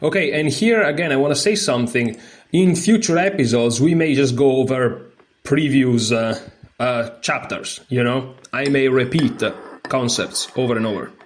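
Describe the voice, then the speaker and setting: A man's voice: medium pitch, normal speed, a little hiss or hum. Informal man teaching, non-native English speaker. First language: not English. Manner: informal, candid, thinking aloud. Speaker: chess teacher and streamer. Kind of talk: casual read-aloud lesson with asides.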